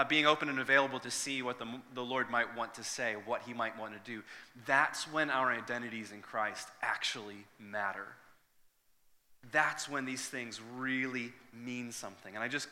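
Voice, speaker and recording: Male pastor, 30s, earnest and conversational, bright voice, long hall reverb. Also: mid-range voice. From Northern Ireland. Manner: earnest, conversational, with frequent restarts and fillers. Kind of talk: sermon with prayer and personal story.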